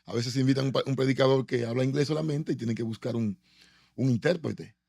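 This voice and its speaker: A man conducting a conversational interview, speaking in hesitant phrases with pauses. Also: medium pitch, fairly even intonation, creaky, a little mellow, dry recording, clean studio sound.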